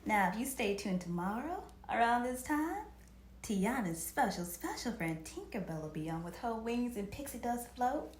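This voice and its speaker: A woman's voice: fairly high pitch, normal speed, slight background noise. A woman hosting a children's storytime, reading aloud expressively and speaking directly to her listeners. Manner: expressive, performative, addresses viewers directly. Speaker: children's storytime host and reader. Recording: roomy, echoing home recording.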